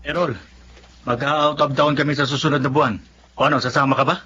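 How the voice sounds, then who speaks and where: A man playing a teasing, flattering young buddy with a Filipino accent in a radio drama. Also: medium pitch, fairly quick, lo-fi sound, natural voice. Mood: playful. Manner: jokey, ribbing.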